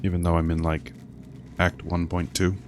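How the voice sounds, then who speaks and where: A man's voice: low-pitched, monotone, moderate pace. A man giving casual gameplay commentary as he streams, with game sound behind him. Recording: noticeable background noise behind voice.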